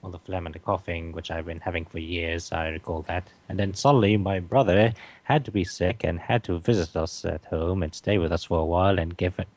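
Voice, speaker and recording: A man with a very low, flat voice recounting a story at an unhurried pace. He talks plainly and keeps his tone level throughout. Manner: slow, matter-of-fact storytelling. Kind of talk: casual group chat.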